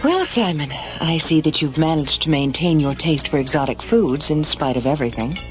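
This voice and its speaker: A woman's voice: low voice, animated, normal speed, faint music behind. An actress playing a composed, probing woman doctor on a covert mission in an audio drama. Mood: probing, polite.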